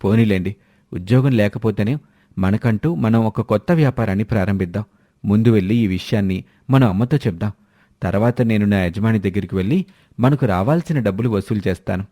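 A man's voice: fairly low pitch, fairly quick, mellow, lightly acted. A man voicing a dutiful, caring eldest brother in a family story. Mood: resolute.

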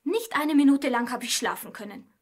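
Dainty young princess for audio fairy tale, high pitched, slightly husky-breathy, courteous. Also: female voice, expressive, normal speed. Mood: plaintive. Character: delicate, polite, weary young princess. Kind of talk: fairy-tale radio play acting.

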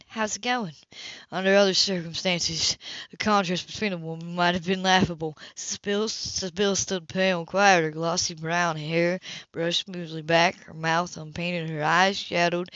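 A woman's voice: on the low side, fairly bright tone, no room sound, highs cut off. A woman reading a novel aloud as an amateur audiobook reader, sometimes stumbling and repeating words.

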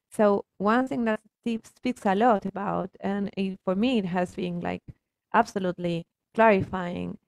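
A woman's voice: mid-range voice, gravelly, darkish tone, dry recording, low-quality recording. A woman from Argentina, a native Spanish speaker with an Argentine accent, explaining things earnestly and emphatically in a reflective coaching conversation.

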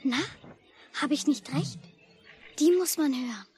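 An actress playing an eager, chirpy child who loves birds, in a 1950s German radio play. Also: female voice, sits high, lively intonation, measured pace, a little mellow. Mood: excited. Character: eager, bird-loving child of lakeside angler. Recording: faintly noisy old radio recording.

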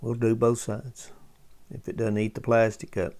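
A man hosting a casual hands-on restoration demonstration, talking in a folksy, halting way as he thinks aloud while working. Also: medium pitch, little pitch movement, mellow. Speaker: host of a vintage radio restoration channel.

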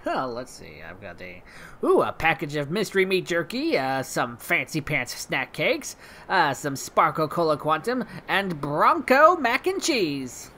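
A woman's voice: sits low, very animated, a little slow, a bit bright, heavily acted. A woman voicing an eager, naive young male weather pony with a bouncy, hesitant delivery in a comedy news segment. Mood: chirpy. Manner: hesitant, bouncy, full of oohs and uhs. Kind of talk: mock news segment in a post-apocalyptic radio comedy.

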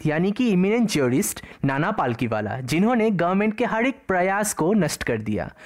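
A woman's voice: medium pitch, a little mellow. A woman narrating a slide-based exam-prep lecture, explaining each term in two languages. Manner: explains each term twice, in Hindi and in English.

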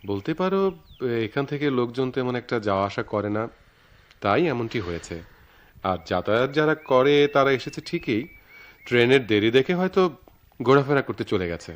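A reassuring young lover performed by a man in a Bangladeshi radio play. He explains things patiently in a medium-pitched voice with a theatrical delivery. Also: expressive, a little fast, long pauses, lightly acted. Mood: reassuring, playful. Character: reassuring young man eloping with his sweetheart. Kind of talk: Bangladeshi radio drama acting.

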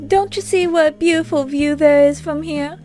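A woman's voice: sits high, fairly even intonation, normal speed, a little mellow, soft background music, lightly acted. A woman playing a playful, teasing young twin sister in a cartoon dub. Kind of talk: cartoon comic dub acting.

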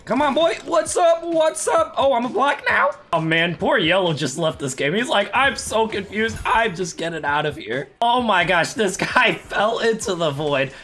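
Hoarse, hyped young gaming streamer man. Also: sing-song, moderate pace, hardly any pauses, sharp tone. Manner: hyped, laughing, voice cracking up high. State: hoarse, losing his voice. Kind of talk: excited gameplay commentary. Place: home gaming setup, indoors.

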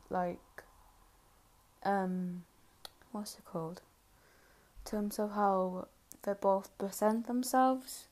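A woman's voice: medium pitch, warm tone. A woman giving casual, hesitant spoken commentary as she reviews videos she is reacting to.

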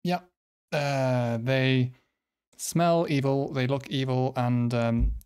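A man from the Nordic region, a native Swedish or Finnish speaker, hosts a casual quiz video. His voice sits at an average pitch and moves slowly. He thinks aloud in a halting, self-deprecating way, chatting informally to his viewers. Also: steady tone, darkish tone, clean tone. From Finland or nearby Nordic region.

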